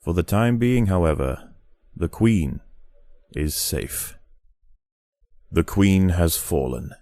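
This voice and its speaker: A man giving measured, grave, scripted nature documentary narration for a game. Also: low-pitched, big pitch swings, dark tone, no echo, clean studio sound.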